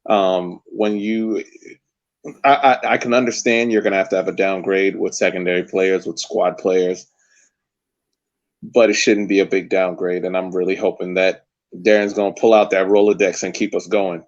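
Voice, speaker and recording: A man co-hosting a casual sports podcast, hesitant and halting, often restarting his sentences. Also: low-pitched, little pitch movement, muffled recording. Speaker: podcast co-host.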